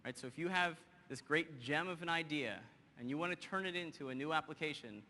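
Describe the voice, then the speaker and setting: Energetic man demoing software on a stage. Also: a little high. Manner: energetic, quick and joking with the audience. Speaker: presenter. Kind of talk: live software demo on stage. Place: large conference hall stage. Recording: hall reverb, faint noise.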